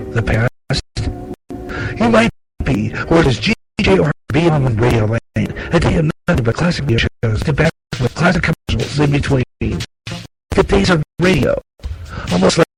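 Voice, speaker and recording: A man's voice: on the high side, gravelly, poor audio. A man announcing a scripted radio promo in a dramatic narrating style. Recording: loud music bed behind the voice.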